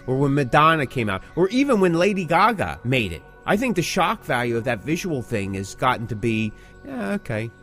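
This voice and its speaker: A man's voice: fairly high pitch, a bit bright. A man co-hosting a podcast in casual discussion, speaking emphatically and posing rhetorical questions. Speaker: podcast co-host. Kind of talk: casual music-industry discussion.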